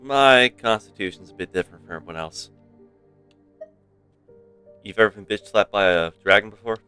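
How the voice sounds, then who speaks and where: A man's voice: fairly low pitch, expressive, normal speed, sharp tone, clean tone. A man doing casual live commentary on a game as a streamer, with wry asides. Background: game music.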